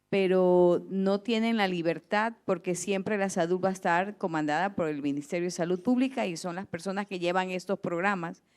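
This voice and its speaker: A woman's voice: mid-range voice, a little mellow, clear, resonant tone. A woman from Ecuador with an Ecuadorian accent, giving a spontaneous comment in a class discussion. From Guayaquil, Ecuador. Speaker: participant in a health seminar.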